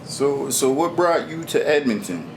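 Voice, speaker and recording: A man's voice: medium pitch, expressive, measured pace, noisy background. A male host interviewing a guest casually, informal and often repeating words as he frames his questions.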